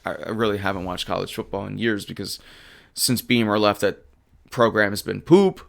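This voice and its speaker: Hoarse, animated sports host. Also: male voice, on the low side, moderate pace. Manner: animated and emphatic, rattling off stats. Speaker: livestream sports show host. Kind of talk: casual sports talk reading chat.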